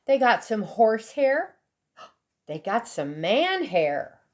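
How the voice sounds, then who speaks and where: Female children's storybook reader: high voice, unhurried, expressive, deliberate dramatic emphasis. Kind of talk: expressive children's read-aloud narration.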